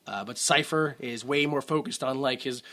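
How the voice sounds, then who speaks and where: A man co-hosting a movie podcast, talking loosely and fast to his friend. His tone is bright and forward, and he stumbles through restarts, drops swear words and does quick impressions of characters he quotes. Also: mid-range voice. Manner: rambling, swears freely, laughs, acts out quotes. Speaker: podcast co-host. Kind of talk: casual movie review chat.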